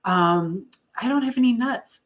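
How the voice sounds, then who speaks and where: A woman's voice: fairly high pitch, lively intonation, measured pace. The chatty American woman you'd hear on a casual evening livestream, talking to her regulars by name from the kitchen counter while she cooks. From United States. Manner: chatty, reading out and answering viewer comments. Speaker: livestream host. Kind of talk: casual live chat with viewers while cooking. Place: home kitchen.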